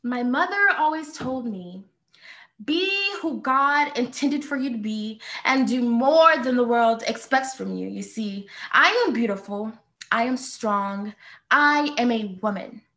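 A woman's voice: a little high, expressive, a little slow, sharp tone. A young American woman performing slam poetry in an emphatic, declamatory way with repeated refrains. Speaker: spoken-word poet.

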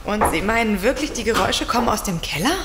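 A woman acts out a playfully forward young woman in a spooky radio play. She is coy and confident, with a medium pitch and faint noise behind her. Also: lively intonation, fast talker, lightly acted. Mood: confident, chatty. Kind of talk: German audio drama acting.

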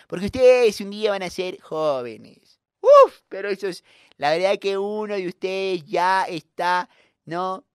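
Man putting on a gushing, drawn-out, overfriendly schoolteacher. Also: high-pitched, big pitch swings, clear, resonant tone, no room sound, clean studio sound, voice pushed up. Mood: amused, chatty. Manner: cloying, drawn-out, gushing with diminutives. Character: overfriendly, effusive, flamboyant schoolteacher addressing pupils. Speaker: radio comedy performer. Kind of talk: comic monologue.

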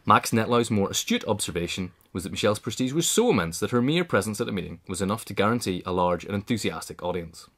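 A man's voice: lowish voice, animated, fast, few pauses, fairly bright tone. A man narrator reading a scripted biographical essay aloud. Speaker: narrator, reader.

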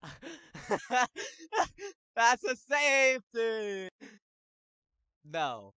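A young man calling plays in an online football game, shouting touchdowns and then laying down the rules to the players. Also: extremely high pitch, very animated, extremely slow, clear, resonant tone, no room sound. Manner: hype announcer yelling touchdowns, then bossy about rules. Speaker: game commentator and league host. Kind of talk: excited play-by-play commentary.

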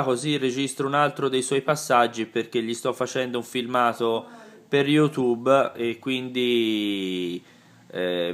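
Man chatting as a radio operator. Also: medium pitch. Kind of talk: casual ham radio exchange. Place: indoor room beside vintage radio sets.

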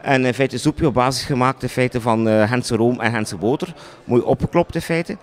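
A Flemish man in his thirties explains things off the cuff as an interviewed chef. His voice sits at a middle pitch and is picked up by a handheld microphone indoors. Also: moderate pace. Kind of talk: spontaneous interview answers. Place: indoors in a panelled room. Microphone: handheld reporter microphone.

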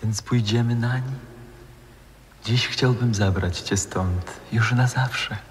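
An actor playing a gentle, solemn, ghostly composer lover in measured, tender 1960s radio drama style. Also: male voice, medium pitch, close to an everyday voice. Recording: noisy old radio recording, long reverb.

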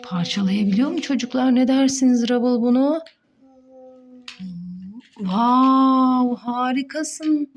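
A woman's voice: high-pitched, takes it slowly. A young woman, a mother, playfully narrating toy play for children, full of exclamations and questions to young viewers.

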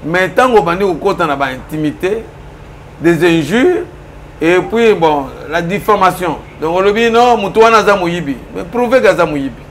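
A middle-aged man with a Congolese accent, arguing politics in an interview, mixing Lingala and French with emphatic, forceful points. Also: high-pitched, expressive, normal speed, slight background noise. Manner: switches between Lingala and French, emphatic and argumentative. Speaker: political commentator. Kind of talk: studio interview. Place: small indoor office room.

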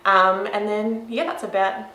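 A woman's voice: mid-range voice, little pitch movement, unhurried, pushed and bright. An Australian woman in her twenties chatting cheerfully and informally in a casual interview. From rural Victoria, Australia. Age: 20s. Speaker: interviewee, graphic designer. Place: small office indoors, echoey. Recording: echoey room sound.

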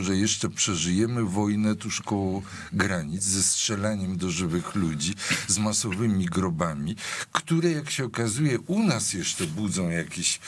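An older man, a lawyer on a studio panel, making his points in order with a husky voice and sharp emphasis. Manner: measured, enumerating points, pitch jumps for emphasis.

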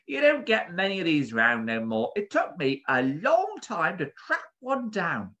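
A man's voice: high-pitched, huge pitch swings, unhurried, sharp tone, voice pushed up, heavily acted. A man voicing a large, pleasant bird keeper in a storybook, folksy and protective, with colloquial, ungrammatical speech. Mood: friendly, protective. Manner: colloquial, dropped-grammar working-class speech.

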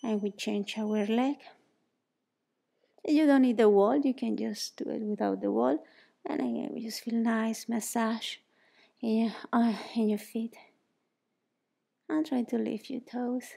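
A woman from Mexico with a Mexican Spanish accent, in her thirties, guiding a yoga exercise in a bright indoor studio, soothing and encouraging, often dropping to a near whisper. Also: fairly high pitch, fairly even intonation, measured pace, gravelly, long pauses. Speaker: yoga teacher. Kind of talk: guided exercise instruction.

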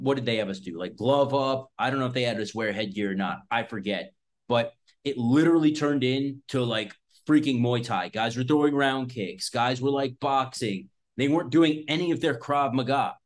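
A man of thirty-six, a self-defense instructor, talking casually and bluntly to camera, swearing often and rambling with lots of fillers. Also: mid-range voice. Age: 30s. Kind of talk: casual unscripted talk to camera. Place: small indoor room in front of a backdrop.

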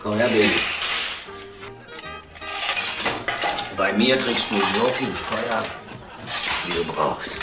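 An actor playing a pushy, boozy junior boss making crude, insistent advances in a crime radio drama. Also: male voice, lowish voice, animated, measured pace. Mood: coaxing, insistent. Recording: echoey, with loud music over it.

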